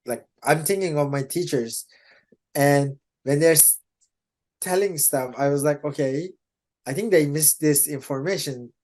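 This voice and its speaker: A man with a Turkish accent answering interview questions in a casual, halting way, often restarting his sentences and laughing. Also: fairly high pitch, darkish tone. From Turkey. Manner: hesitant, many restarts and laughs. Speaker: interview guest, Salesforce developer.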